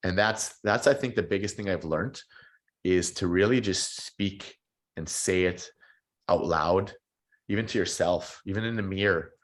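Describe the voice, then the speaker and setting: A man in his thirties answering interview questions in a relaxed, reflective way, often repeating words as he gathers his thoughts. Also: low voice, unhurried. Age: 30s. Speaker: podcast guest.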